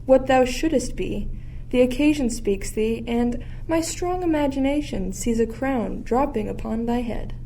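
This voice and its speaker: A woman's voice: fairly high pitch, measured pace, dark tone, low-quality recording. A woman playing a sly, ambitious usurping duchess in a Shakespearean radio play, insinuating and theatrical. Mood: scheming, insinuating. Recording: faint background noise.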